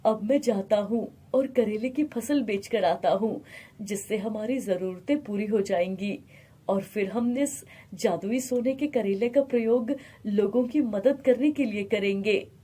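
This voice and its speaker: An honest, humble farmer as a woman plays him in a bedtime moral tale. The voice is husky and unhurried, earnest and steadfast in manner. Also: medium pitch, mellow. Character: honest, devout poor male farmer, firm and humble.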